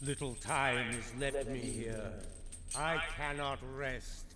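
An actor playing a tormented, mournful ghost in a radio play, with solemn, drawn-out, wailing declamation. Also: male voice, mid-range voice, fairly even intonation, bright, forceful tone. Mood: weary, sorrowful. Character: tormented ghost of Scrooge's dead business partner, mournful, warning. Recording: roomy, long reverb, clearly noisy, rattling metal.

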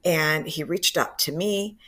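Low-voiced woman, enthusiastic author guest. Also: measured pace, pushed and bright. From Cuba. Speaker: professor and book co-author. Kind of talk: radio interview guest.

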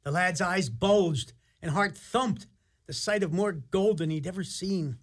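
A man's voice: high voice, measured pace. A man narrating a scripted radio play as storyteller, in a theatrical and wry manner.

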